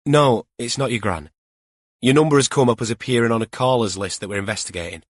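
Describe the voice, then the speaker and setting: A man voicing a female detective inspector with a calm, polite, official manner in an audiobook. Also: mid-range voice, quick pace, dry recording, studio quality, lightly acted.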